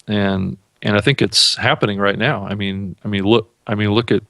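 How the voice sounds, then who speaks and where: A man hosting a podcast, talking in a casual, halting way and repeating words as he thinks. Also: fairly low pitch, steady tone, gravelly, pushed and bright, no echo, clean studio sound. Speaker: podcast host. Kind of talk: casual chat.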